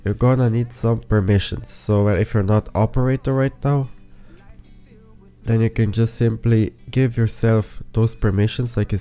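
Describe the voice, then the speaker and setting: Young guy kinda sick, lowish mellow voice, rambly screen-share tutorial, faint music behind. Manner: rambling, restarts sentences, many fillers. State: sick, voice a bit off. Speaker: plugin reviewer. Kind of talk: casual tutorial walkthrough.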